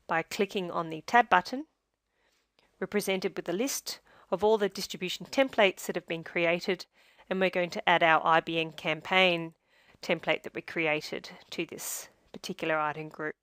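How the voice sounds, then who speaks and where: A woman narrating a scripted software tutorial as a demonstrator, in a measured, step-by-step instructional way. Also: fairly low pitch, no echo, clean studio sound.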